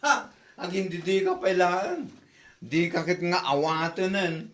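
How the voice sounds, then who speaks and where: A man playing a loud, mocking, gossipy busybody in an Ilocano radio comedy drama, theatrical and full of exclamations. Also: high-pitched, a little slow. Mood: taunting.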